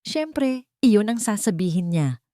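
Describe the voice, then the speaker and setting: A woman's voice: medium pitch, big pitch swings, measured pace, dark tone, dry recording, studio quality, pitched above the performer's own voice. Woman voicing a scheming, falsely sympathetic male cousin. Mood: quick, dismissive.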